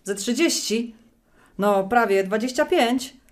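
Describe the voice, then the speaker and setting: A woman's voice: fairly high pitch, takes it slowly. A woman playing a chatty, wry middle-aged widow in an audio drama, talking in a colloquial way. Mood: excited, surprised. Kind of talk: audio drama monologue and phone acting.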